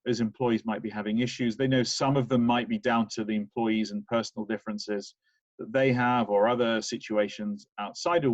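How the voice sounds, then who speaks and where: A man's voice: medium pitch, darkish tone, no echo. A man from the UK with a British accent, a management professor giving an earnest webinar talk over a video call with frequent restarts. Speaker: guest speaker, management professor. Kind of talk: webinar presentation. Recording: dry, dull lo-fi video call audio.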